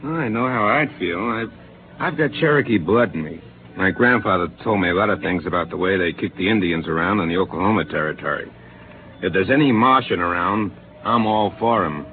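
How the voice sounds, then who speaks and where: Easygoing spaceman crewmate, animated pitch, tinny old-radio sound, faint hiss. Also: fairly quick. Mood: earnest. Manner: relaxed, then frantic. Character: easygoing male crewman, part Cherokee. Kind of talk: 1950s radio acting.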